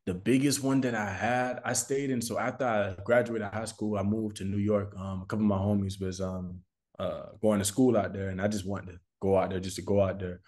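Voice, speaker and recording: A man's voice: lowish voice, flat delivery, brisk, darkish tone. An American man from Florida chatting casually as a music artist in an interview, with slangy, repetitive phrasing. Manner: informal, slangy, repeats phrases for emphasis. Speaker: recording artist being interviewed.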